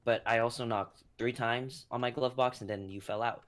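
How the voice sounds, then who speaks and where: A man's voice: medium pitch, fairly even intonation, normal speed, a bit bright, lightly acted. A man playing a bewildered, skeptical ordinary guy, hesitant and questioning, in a comedic dramatic reading.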